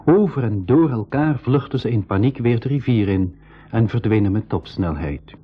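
A man's voice: medium pitch, expressive, moderate pace, soft background music, close to an everyday voice. An actor playing a young brother who tells his adventure in a radio play. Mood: measured storytelling. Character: young brother adventurer, excitable, telling his own story. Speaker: actor and narrator in a school radio drama. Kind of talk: Dutch-language 1980s radio play acting and storytelling. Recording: dull old radio recording.

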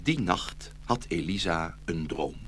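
Expressive, bright-voiced male storyteller. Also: low voice, takes it slowly, slight background noise. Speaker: narrator. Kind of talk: scripted fairy-tale storytelling.

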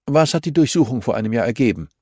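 A man's voice: mid-range voice, lively intonation, fast, a little mellow, no echo, clean studio sound, pitched above the performer's own voice, natural voice. A man voicing a stern older male judge in an audiobook, brusque, formal, skeptical and unyielding. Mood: dismissive. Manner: formal, curt, officious.

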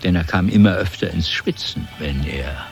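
Deep-voiced male radio-drama narrator. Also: normal speed, music in the background.

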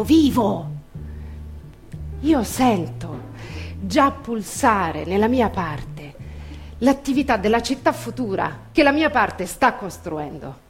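Higher-voiced woman in a theatrical monologue over music. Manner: emphatic, deliberate pauses, conversational asides. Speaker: actor reading a political text. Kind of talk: theatrical dramatic monologue reading. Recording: music under the voice.

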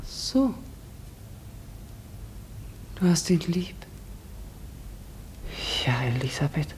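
An actress playing a village schoolteacher in a German radio drama, articulate and measured, stern yet gentle. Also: female voice, fairly low pitch, big pitch swings, a little hiss or hum, full character voice. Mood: probing, gentle. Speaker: actor in a radio play. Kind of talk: German radio drama, narration and classroom dialogue.

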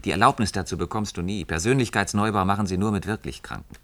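An actor as a conformist husband in a dystopian radio drama. His voice is low-pitched and weary. He speaks carefully, trying to placate and make peace with the way things are. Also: quick pace, fairly bright tone, lightly acted. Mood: cautious, placating. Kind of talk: German radio play acting.

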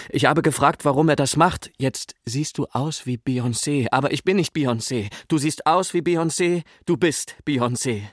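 An actor playing a plain, weary storyteller, giving a measured, matter-of-fact scripted monologue. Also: male voice, mid-range voice, no echo, clean studio sound, lightly acted.

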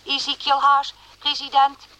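An actress playing a cold, unyielding debt collection agency, reading formal letters mechanically in a radio drama on a muffled, noisy recording. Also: female voice, sits high, monotone, measured pace, pushed and bright. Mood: coldly formal.

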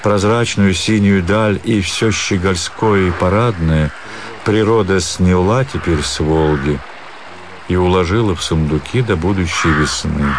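A man narrating a literary story for a radio drama in a measured, expressive storytelling manner. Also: sits low, background noise. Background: music.

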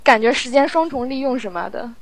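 High-voiced woman chatting casually. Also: animated, brisk, dry recording. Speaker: audio drama post-production sound editor, guest. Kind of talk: casual interview chat.

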